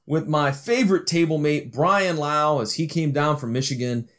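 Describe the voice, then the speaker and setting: Upbeat chatty podcast host. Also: male voice, on the high side. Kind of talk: casual podcast chat. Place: busy indoor expo hall.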